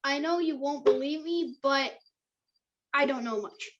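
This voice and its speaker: A woman acts an anxious, earnest youngster in a screenplay table read. The voice is high, and the recording is dull and lo-fi. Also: measured pace, fairly bright tone. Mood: uneasy. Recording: dull lo-fi sound.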